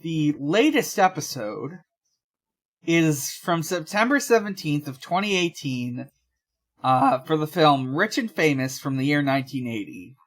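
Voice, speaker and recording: A podcast co-host chatting casually in a halting, rambling way. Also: mid-range voice, big pitch swings.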